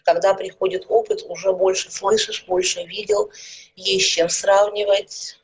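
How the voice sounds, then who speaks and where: A middle-aged woman, a speech therapist, calmly explaining things with everyday examples in an interview. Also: mid-range voice, normal speed, slightly rough, rough recording. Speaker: speech therapist and psychologist guest.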